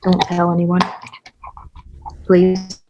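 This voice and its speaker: An actress playing a soft-hearted, secretive woman in a screenplay table read. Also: fairly low pitch, completely flat delivery, drawn-out words, highs cut off. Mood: anxious, pleading. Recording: noisy, with clicking.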